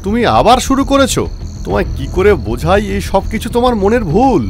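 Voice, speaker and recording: Male narrator as young husband, exasperated, dismissive, lively intonation, animated horror story, music behind. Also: high-pitched, brisk, pitched above the performer's own voice. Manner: exasperated, scolding. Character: irritated, dismissive young husband brushing off fears.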